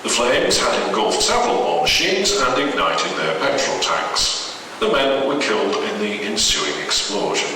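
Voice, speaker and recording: A man's voice: lowish voice, very animated, normal speed. A man reading a horror story aloud at a live event with a dramatic delivery. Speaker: story reader. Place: live event in a reverberant room, distant mic. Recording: echoey, noisy live hall recording from far off.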